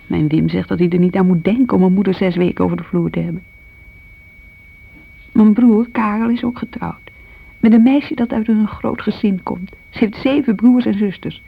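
Muffled radio drama, actress as a homely woman in her thirties, gravelly voice, shy, confiding and self-excusing. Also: medium pitch, a little hiss or hum, close to an everyday voice. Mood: chatty, puzzled. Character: homely young mother, shy, wistful younger sister. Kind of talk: radio play monologue, casual confiding. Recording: muffled old radio recording.

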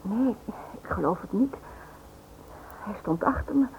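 An actress playing a shaken but strong-willed wife and mother in a radio drama, sharp-tongued and reproachful. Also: mid-range voice, expressive, measured pace. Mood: shaken, weary. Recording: noisy, tinny old radio recording.

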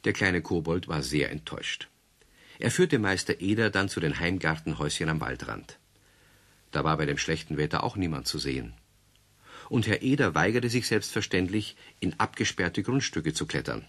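Deep-voiced man narrating a radio play. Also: normal speed, fairly bright tone. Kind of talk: scripted storybook narration.

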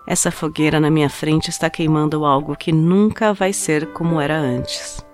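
A woman plays a wistful, heartbroken young woman narrating her own love story for an audio drama. Her voice sits slightly low, intimate and confessional, with reflective pauses. Music plays prominently beneath her. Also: lightly acted. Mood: bitter, reflective. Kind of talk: dramatized first-person short story narration in a podcast. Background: music under the voice.

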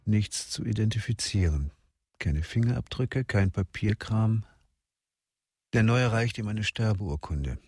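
Deep male narrator, deliberate and intense. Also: expressive, darkish tone, husky, dry recording, soft background music. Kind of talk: scripted radio drama narration.

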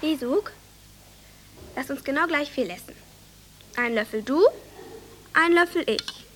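A woman's voice: high voice, animated, a little slow, a bit bright, slight background noise. An actress playing an earnest, bossy young village girl in a radio drama, speaking in an eager, childlike way. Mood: instructing. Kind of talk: German radio drama acting.

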